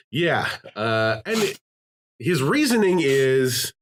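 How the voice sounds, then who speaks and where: A man's voice: medium pitch, big pitch swings, drawn-out words, fairly bright tone, slight background noise. A man hosting a podcast, talking casually, rambling with many fillers and restarts. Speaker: podcast host. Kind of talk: casual chat.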